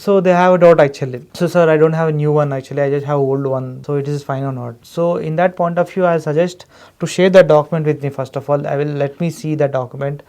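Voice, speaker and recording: Fast-talking Indian man, mellow voice. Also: fairly high pitch, steady tone. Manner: emphatic, wordy explaining, often repeats "actually". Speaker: education consultant guest. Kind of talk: explanatory podcast interview.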